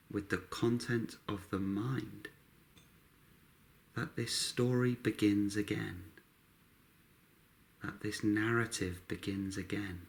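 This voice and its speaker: A man guiding a meditation, speaking calmly in short, unhurried phrases with long pauses between them. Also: lowish voice. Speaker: meditation guide. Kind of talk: guided meditation narration.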